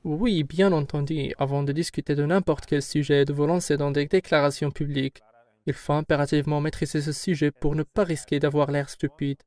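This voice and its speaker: A man speaking in a fairly high register gives prepared, explanatory answers in a firm, measured tone. The sound is dry and close, with no room around it. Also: steady tone, darkish tone, dry recording. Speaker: company head answering questions. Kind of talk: formal prepared interview answers.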